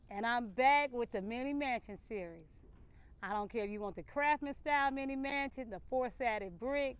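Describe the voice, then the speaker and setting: A middle-aged woman with a Southern American accent, a real estate agent talking straight to camera outdoors at a building site in an upbeat, direct sales-pitch way. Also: clear, resonant tone. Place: outdoors at a housing construction site. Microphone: camera facing her at close range.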